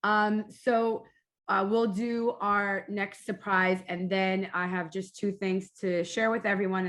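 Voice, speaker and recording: A woman with a Portuguese accent hosting an online meeting, friendly with frequent fillers. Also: medium pitch. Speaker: meeting host. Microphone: computer mic on a video call.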